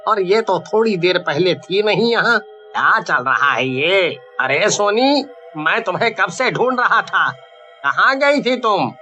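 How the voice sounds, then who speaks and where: A man acts out a worried, bewildered husband in an animated horror tale. His voice is high and sharply bright, delivered slowly with anxious questions and exclamations, over light background music. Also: muffled recording, exaggerated voice. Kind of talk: dramatized Hindi animated horror-story acting.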